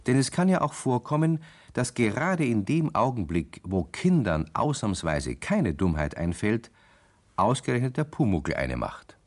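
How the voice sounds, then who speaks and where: A man's voice: medium pitch, sing-song, unhurried, darkish tone. A man narrating a children's radio story in a playful way, speaking directly to young listeners.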